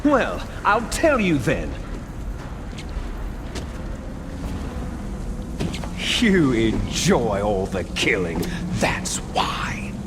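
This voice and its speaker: Game villain: proud warmonger, grandiose and taunting, slow delivery over noticeable noise. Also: male voice, medium pitch, sing-song. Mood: taunting, accusing. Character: bitter, theatrical male soldier villain, proud warmonger. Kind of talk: dramatic video game acting.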